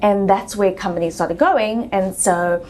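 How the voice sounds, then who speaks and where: A woman's voice: medium pitch, measured pace, a little mellow, a little hiss or hum. A woman in her thirties with a South African accent, telling her story as an interview guest in a chatty way with frequent ums.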